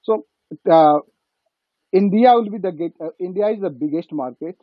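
High-voiced Nepali man on a phone-like line. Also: dry recording. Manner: halting, repeats phrases for emphasis. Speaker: business guest. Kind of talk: informal business discussion. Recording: thin phone-like call audio.

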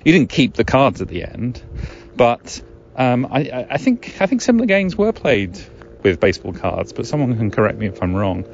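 A man's voice: medium pitch, very animated, normal speed, gravelly. A middle-aged man, musing alone as a podcast host in a rambling, wry, hesitant way full of wordy asides. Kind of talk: musing solo podcast monologue. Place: outdoors in the woods. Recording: dull lo-fi recording, ambient noise.

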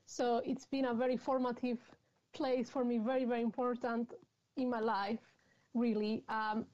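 A woman's voice: a little high, level tone, normal speed, a little mellow. Spanish-accented woman, chatty, lo-fi sound. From Spain. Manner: laughs often, frank and chatty. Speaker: guest author. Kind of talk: conversational interview. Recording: dull lo-fi sound.

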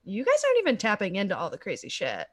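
A young woman co-hosting a podcast, chatting casually and agreeing warmly with her partner. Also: medium pitch, wildly swooping pitch, fast talker, husky. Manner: agreeable, encouraging, many "mm-hmm"s. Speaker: podcast co-host. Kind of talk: casual chat.

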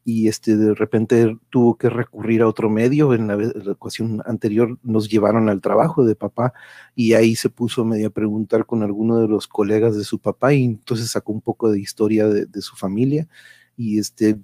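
A man's voice: on the low side, little pitch movement, moderate pace, warm tone, no echo. A man with a Mexican Spanish accent hosting an online show, chatting in an informal, slangy, rambling way. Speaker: host of an online radio drama show. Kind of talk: casual live-stream introduction and chat.

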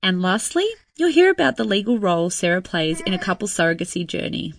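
A woman's voice: lowish voice, very animated, fairly quick, gravelly, slight background noise. An Australian woman hosting a podcast, bubbly and enthusiastic, reading her intro and then chatting with a guest. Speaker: podcast host. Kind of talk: scripted intro then casual chat.

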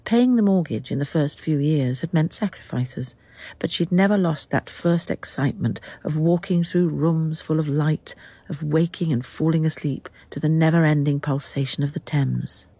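An actress as a calm, detached narrator of a radio drama, with measured literary delivery. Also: female voice, low voice, slightly rough, no echo. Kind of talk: scripted third-person narration, radio drama.

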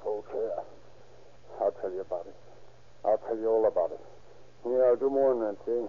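An actor playing an evasive, surly small-time crook, halting and slangy, in a 1930s radio drama. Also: male voice, lowish voice, close to an everyday voice. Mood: reluctant, cornered. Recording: tinny old-time radio recording.

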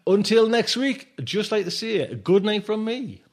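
A male podcast host with a fairly high voice talks in a loose, casual way. He is effusive and rambles, repeating phrases and starting them over as he goes. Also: animated, a little slow. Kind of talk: casual, rambling sign-off chat.

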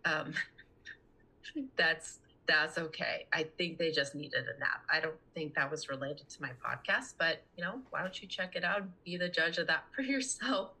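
Young woman, low bright husky voice. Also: normal speed, low-quality recording. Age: young adult. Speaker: podcast guest. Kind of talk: casual conversational interview talk.

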